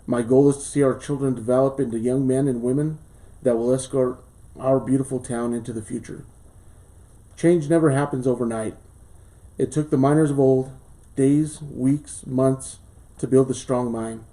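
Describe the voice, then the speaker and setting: A man in his late thirties, a school board candidate from southern Colorado, reading a formal speech and answering questions earnestly, with pauses and fillers, in a small studio. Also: medium pitch, steady tone, dark tone. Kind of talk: prepared campaign speech and interview answers.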